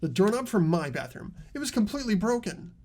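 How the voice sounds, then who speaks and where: A performer playing an earnest, argumentative high school student with animated questioning in a fan-voiced game. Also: brisk. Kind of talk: fan voice acting of a video game script.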